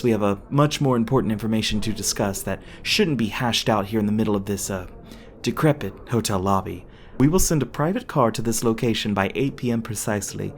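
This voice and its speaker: A man's voice: on the low side, slight background noise. Man playing a smug agent, swooping and pompous. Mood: condescending. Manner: pompous, wordy, mock-polite. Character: smug, officious male cult agent with false courtesy. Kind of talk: ASMR audio roleplay acting.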